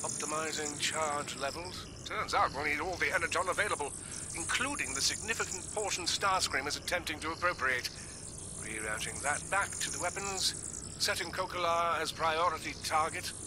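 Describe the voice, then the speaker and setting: Man playing a high-pitched, fussy robot scientist. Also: normal speed, background noise, natural voice. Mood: brisk, clinical. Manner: formal, wordy, clinical and exacting. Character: fussy, precise male robot scientist, calm mission adviser. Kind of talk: video game voice acting.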